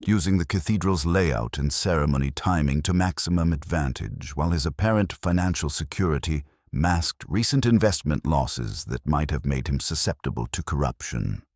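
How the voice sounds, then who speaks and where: A man reading a scripted mystery story as narrator, in a formal, measured literary manner. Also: a bass voice, animated, darkish tone, no echo, clean studio sound. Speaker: audiobook narrator.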